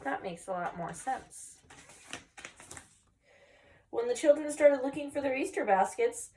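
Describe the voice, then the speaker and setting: A woman's voice: high voice, expressive, unhurried, recorded from a distance, background noise. A woman reading a children's picture book aloud expressively, with chatty asides to young listeners. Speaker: story time reader, teacher.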